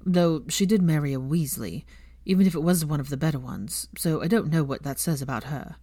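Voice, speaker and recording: A woman's voice: deep voice, brisk, warm tone, breathy edge, dry recording. A woman voicing a haughty young wizard man in a story reading, scoffing and dryly sardonic. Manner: scoffing, dry.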